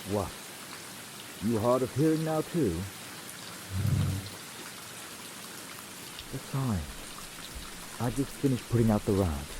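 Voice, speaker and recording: A man playing a gruff, grumbling but reluctantly kind fantasy town blacksmith in a slow, dry ASMR roleplay. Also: lowish voice, background noise. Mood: irritated, curt. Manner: curt, grumbling, dry, wordy sarcasm. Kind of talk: ASMR roleplay, slow scripted character acting. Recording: steady rain sound behind the voice. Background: rain falling.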